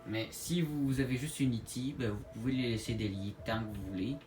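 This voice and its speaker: A man with a Québécois French accent giving a casual tutorial to viewers, halting and repeating his words as he goes. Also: medium pitch, a little mellow. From Quebec, Canada. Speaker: gaming video creator. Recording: clear background noise, home-made recording.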